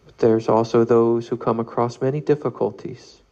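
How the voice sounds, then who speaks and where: A man leading a guided meditation, reading aloud calmly in measured phrases with long pauses. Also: mid-range voice, highs cut off. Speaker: meditation leader.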